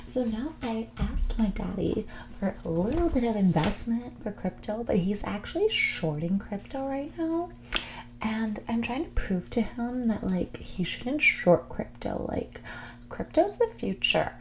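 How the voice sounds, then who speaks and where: A woman playing a snobby, dismissive rich young woman in a whispered and soft-spoken ASMR roleplay, condescending and ditzy, saying "like" often. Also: on the high side, big pitch swings, a little slow, background noise. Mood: bored, condescending. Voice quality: breathy whisper mixed with creaky soft speech. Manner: ditzy, condescending, hesitant delivery full of "like".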